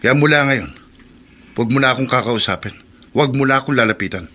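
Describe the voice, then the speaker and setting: Angry young man in pinoy radio drama, accusing, tense bright voice, confrontational. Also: mid-range voice, quick pace. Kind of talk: Filipino radio drama acting.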